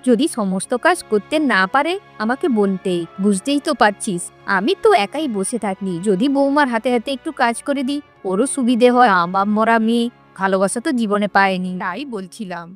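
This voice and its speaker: A woman voicing a kind, caring elderly mother-in-law who speaks gently in a cartoon story. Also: medium pitch, brisk, soft background music, lightly acted. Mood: gentle, explaining. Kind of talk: Bengali cartoon fairy-tale voice acting.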